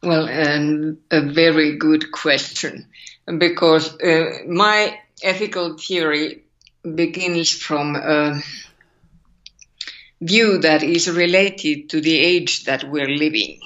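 A woman's voice: sits low, fairly even intonation, slow, a bit bright, dull audio. A woman from Finland with a Finnish accent, an ethics scholar thinking aloud in an interview and asking rhetorical questions. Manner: thoughtful, searching, asks rhetorical questions. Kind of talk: interview over a call.

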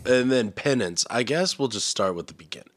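A man's voice: mid-range voice, expressive, fairly quick, a bit bright. A young man hosting a podcast, talking in crude, blunt, mocking casual banter. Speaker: podcast host. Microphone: studio podcast mic.

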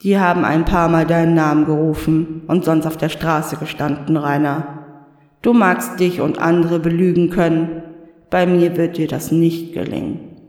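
A man voicing Death as a calm, patient spirit who speaks in a measured, dryly stern way. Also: a little high, natural voice. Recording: echoey room with long reverb.

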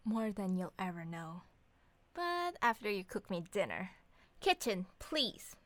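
A woman playing a sharp-tongued, bossy wife and mother who teases bluntly in a scripted audio comedy drama. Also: mid-range voice, sing-song, unhurried. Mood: teasing. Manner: sarcastic, bantering, blunt.

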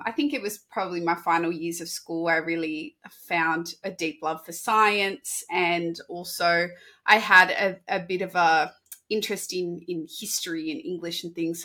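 A woman from Sydney with an Australian accent talks about her career in a chatty, animated way, with quick restarts. Her voice sits on the low side for a woman but sounds bright and forceful. She comes across as casual and talkative. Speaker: dietitian, podcast guest. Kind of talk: casual interview talk.

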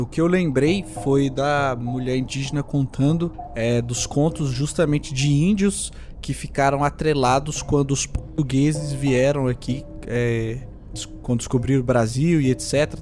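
A man's voice: medium pitch, moderate pace, darkish tone, faint music behind. A man playing a tabletop game in a casual, rambling way, describing his character. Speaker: player.

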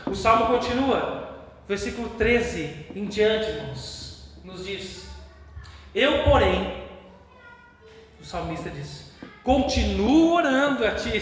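A Brazilian man preaching a sermon with passion, moving between hushed and loud. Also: high voice. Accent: Brazilian Portuguese. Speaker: pastor. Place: church hall. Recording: echoey church hall with long reverb.

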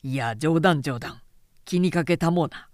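Woman voicing a proud, low-pitched lordly warlord. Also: measured pace, a little mellow, no echo, clean studio sound. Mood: jovial, boastful. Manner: lordly, hearty, conversational. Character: proud, teasing male warlord host in his prime.

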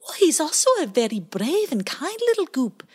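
A woman's voice: high voice, very animated, normal speed, darkish tone, voice pushed up. A woman voicing a baby male otter in a storybook, eager and earnest.

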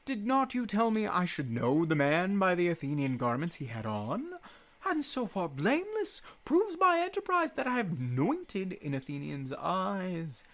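A woman's voice: on the low side, wildly swooping pitch, measured pace, heavily acted. A woman acting a mischievous fairy servant, playful and unrepentant, in a Shakespeare radio play. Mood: playfully defensive.

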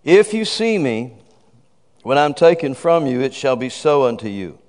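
A man's voice: mid-range voice, big pitch swings, moderate pace. A man in his fifties preaching a sermon, exuberant and calling out praise. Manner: exuberant, calls out praise and shouts "woo". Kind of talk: sermon with a congregant. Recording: reverberant hall.

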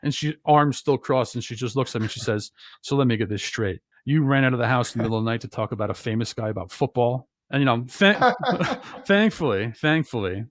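A man from New Jersey with a loud bass voice, co-hosting a casual podcast and telling rambling stories full of restarts and fillers. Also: lively intonation, fairly quick, dry recording, studio quality. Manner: rambling storyteller, lots of "you know" and restarts. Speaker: podcast co-host.

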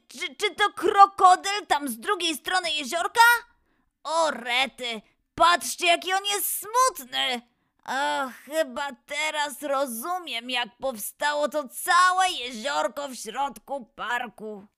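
Woman as a bright, high-pitched little boy lion. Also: full character voice. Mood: surprised, concerned. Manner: exclamatory, wide-eyed, slow and expressive. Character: curious, kind-hearted little boy lion. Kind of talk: children's audio fairy tale acting.

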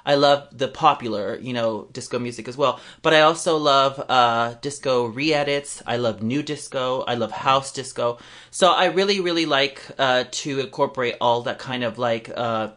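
A man's voice: medium pitch, moderate pace, fairly bright tone. A man who DJs, chatting on a podcast in a rambling, filler-heavy way, swearing and laughing now and then. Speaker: DJ, podcast guest. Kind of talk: casual podcast chat. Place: London.

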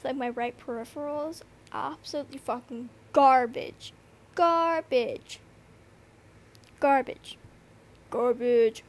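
A woman's voice: high voice, takes it slowly, long pauses. A teenage girl with a slight lisp, tired and rambling through a casual solo podcast, swearing often and full of fillers. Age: teens. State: tired from lack of sleep. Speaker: podcast host. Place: noisy bedroom. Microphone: earbud microphone.

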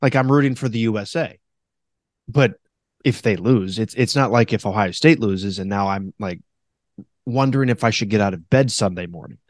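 A man with a deep voice hosting a casual podcast, animated and quick to mock radio announcers in a high voice. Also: dry recording, studio quality. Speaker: podcast host; former radio host.

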